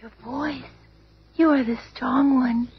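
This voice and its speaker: Gentle, fragile young heroine, high and slow, radio drama, muffled old recording. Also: female voice, fairly even intonation. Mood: wondering. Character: gentle, frightened young blind woman. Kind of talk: 1970s radio drama acting. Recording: dull, faintly noisy old radio recording.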